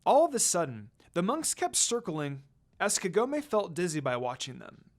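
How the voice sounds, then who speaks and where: A dramatic narrator reading fan fiction aloud. The pitch sits in a middle range and moves up and down a great deal. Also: very animated, normal speed.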